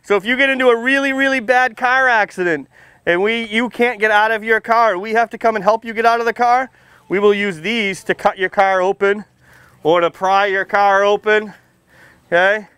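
A middle-aged American man speaks as a firefighter showing young children around, projecting a high, clean voice. His delivery is informal and folksy, full of simple questions. He is outdoors, and the sound is dry, with no echo. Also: fairly even intonation, normal speed, sharp tone, clear, resonant tone. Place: outdoors, under a tent and beside a fire truck.

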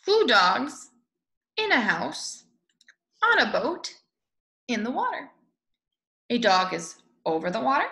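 Animated, high-voiced young woman reading aloud. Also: gravelly. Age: 20s. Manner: expressive, slow, drawn-out words. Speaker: storybook reader. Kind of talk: animated picture book read-aloud for young children. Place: small room at home, indoors. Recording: echoey room.

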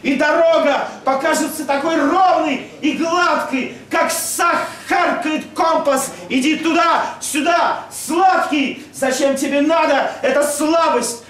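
Loud high-pitched man in an echoey club. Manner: loud, declamatory. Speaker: host and poet. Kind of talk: live poetry recital and hosting. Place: rock club stage and floor, indoors. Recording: echoey club hall, distant camera microphone.

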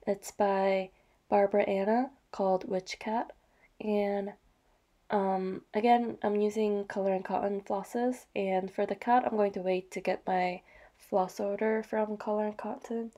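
A woman vlogger narrating her craft projects in a soft, chatty way. She is slightly hoarse from a cold and adds small apologies and fillers. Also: medium pitch, little pitch movement, unhurried. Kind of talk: casual show-and-tell narration.

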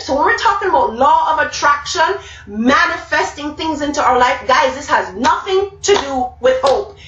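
Middle-aged woman, Jamaican accent, high voice, emphatic live Bible talk, roomy distant webcam sound. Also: fairly bright tone, lo-fi sound. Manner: emphatic, repeats key words, gestures a lot. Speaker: speaker. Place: small room indoors. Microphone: webcam at arm's length.

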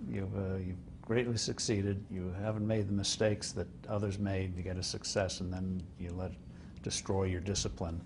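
An older man hosting a sit-down interview, asking long measured questions with "uh" fillers. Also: low-pitched, flat delivery, background noise. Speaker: interviewer host. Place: studio set like a living room.

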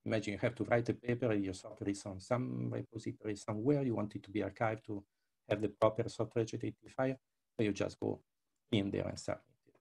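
An older man with a husky, creaky voice presents a software demo over a video call. He speaks English as a second language and often restarts or repeats his words as he explains. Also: lowish voice, fairly even intonation, fast, low-quality recording. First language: not English. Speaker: researcher presenting his work. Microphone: headset.

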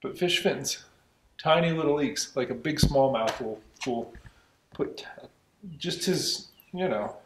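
A man's voice: mid-range voice, reverberant, a little hiss or hum. A young man chatting casually in a workshop, thinking aloud and restarting his sentences. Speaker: channel host. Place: open garage workshop.

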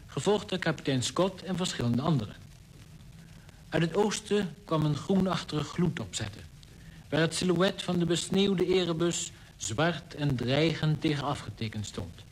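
An actor playing a polar expedition member, giving a literary account in a radio play. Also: male voice, fairly high pitch, lightly acted. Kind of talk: scripted radio drama narration. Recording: noisy old radio play recording.

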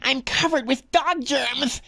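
A fussy, exasperated cartoon dog catcher in a game cutscene. The voice is very high and creaky with a sharp, pinched edge. He sounds sniffly and easily irritated. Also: slow, heavily acted. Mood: miserable, whiny. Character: whiny, irritable fat male dog catcher with allergies. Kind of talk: video game cutscene acting.

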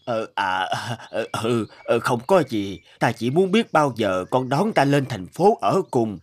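An actor playing a meek, lonely old father in an animated story, speaking hesitantly with stammers. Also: mid-range voice, a little slow. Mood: timid. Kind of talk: animated moral story dubbing.

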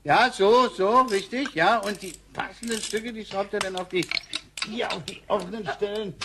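A man's voice: high voice, normal speed, close to an everyday voice. An actor playing a patient, grumbly grandfather and inventor in a radio play, explaining and coaxing in a hesitant way. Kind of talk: German radio play acting. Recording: clear background noise.

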